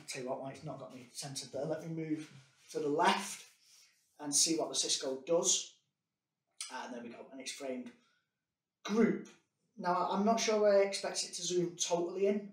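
A man's voice: a little high, a little mellow. A man, casually demonstrating gear in a meeting room and filling his talk with "um" and "uh". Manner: chatty, filler-heavy, thinking aloud. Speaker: product demonstrator. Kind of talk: casual product demo. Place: meeting room with large table. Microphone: conference room device mics, distance varies. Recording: echoey meeting room, distant mic.

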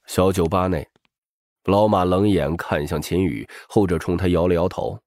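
A man's voice: deep voice, fairly even intonation, moderate pace, darkish tone, no echo, studio quality. A man narrating a novel as a dramatic scripted audiobook.

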